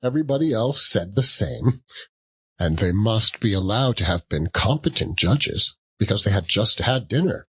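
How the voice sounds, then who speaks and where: A man narrating a classic tale as a dramatic audiobook, in a theatrical, measured way. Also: on the low side, expressive, a bit bright, breathy edge.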